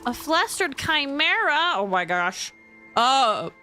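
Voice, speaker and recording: A woman livestreaming a game, chatting casually and reacting in an animated, chatty way. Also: high voice, takes it slowly. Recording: faint game music behind. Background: game music.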